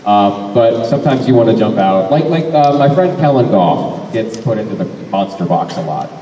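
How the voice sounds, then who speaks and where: A young man and voice actor chats at a fan convention Q&A, rambling and correcting himself as he goes. His voice is mellow and a little low. It comes from far off in a big hall with a long, echoing tail. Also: animated, normal speed. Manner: rambling, self-correcting, laughs often. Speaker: voice actor panel guest. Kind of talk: convention Q&A panel talk. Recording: echoey hall, mic from far off, faint noise.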